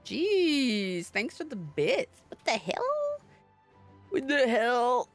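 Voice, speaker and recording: Woman livestreamer with very lively pitch. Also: very slow, no echo. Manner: irreverent, swearing, teasing. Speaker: livestreamer playing a game. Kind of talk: livestream chat and reading game dialogue aloud. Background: game music.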